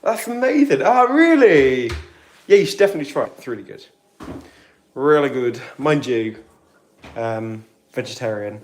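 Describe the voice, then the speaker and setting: A man's voice: medium pitch, expressive, moderate pace. A British man chatting casually on a live stream while cleaning, reading out and answering viewer comments. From Britain. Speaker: vlogger. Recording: roomy home recording with faint noise.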